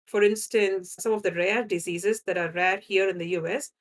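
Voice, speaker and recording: A woman's voice: mid-range voice, fairly even intonation, normal speed. A woman from India with an Indian accent, a guest scientist explaining her work in a conversational interview, often checking in with "right?". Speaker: guest scientist in drug development.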